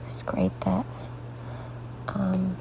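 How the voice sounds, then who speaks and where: A woman artist talking through a coloring tutorial with a congested, stuffy voice, rambling softly and often dropping into half-whispered asides, sleepy late at night. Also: fairly low pitch, big pitch swings, drawn-out words, vocal fry, low-quality recording. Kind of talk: casual coloring tutorial narration.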